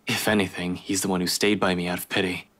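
A man's voice: sits low, little pitch movement, quick pace, fairly bright tone. An actor playing a worried, loyal young male cop in a visual novel game, brooding and urgent. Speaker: video game character voice actor. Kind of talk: dramatic visual novel acting.

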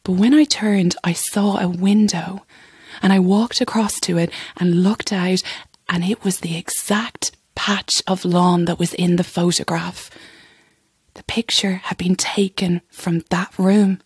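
A husky young chorus girl. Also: lowish voice, steady tone, measured pace, darkish tone, no echo. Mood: hushed, spooked. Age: young adult. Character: nervy young chorus girl telling a ghost story. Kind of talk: audio drama, hushed storytelling.

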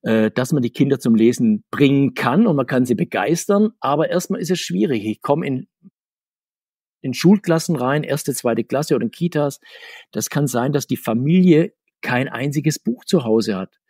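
Male interview guest, husky tone, talkative and self-correcting, dry close sound. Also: medium pitch, expressive, moderate pace, a little mellow, no room sound. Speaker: guest, comics author. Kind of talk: conversational podcast interview.

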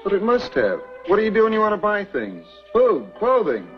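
A man's voice: high voice, huge pitch swings, normal speed. A glib young city man. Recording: dull old TV soundtrack with music.

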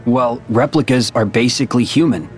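Man playing a calm young warrior, music behind. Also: medium pitch, moderate pace, natural voice. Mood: calm, matter-of-fact. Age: young adult. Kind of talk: animated video game dialogue. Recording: music under the voice.

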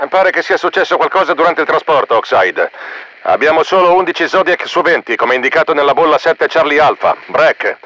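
A man's voice: a little high, bright, forceful tone, highs cut off. A man playing a matter-of-fact mercenary in a video game, reporting to base through a radio filter. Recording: band-limited military radio transmission.

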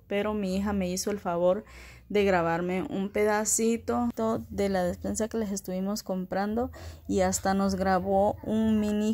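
A woman's voice: mid-range voice, mellow. Chatty woman vlogging at home. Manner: chatty, addresses viewers as friends. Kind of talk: casual home vlog chat. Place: small home kitchen, indoors.

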